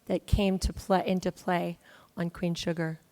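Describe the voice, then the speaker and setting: A woman's voice: lowish voice, flat delivery, measured pace. A woman moderating a convention panel, asking guests questions and praising them effusively. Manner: warm, effusive toward guests.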